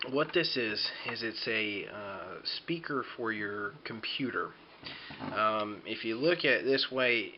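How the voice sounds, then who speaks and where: A man giving an unscripted how-to demonstration, talking in a hesitant, rambling way as he explains. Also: fairly low pitch. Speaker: DIY tutorial presenter. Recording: dull lo-fi home video, background noise.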